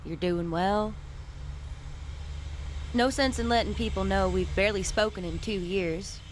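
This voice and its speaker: Woman acting as middle-aged small-town mother, guarded, steady, protective, naturalistic radio play, faint rumbling noise. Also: a little slow, lightly acted. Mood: plainspoken.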